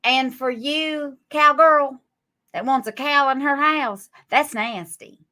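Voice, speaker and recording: A woman's voice: high voice, slow pace, pushed and bright. A woman, scolding and mocking her critics on a live stream in a sarcastic drawl. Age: 40s. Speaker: livestream guest.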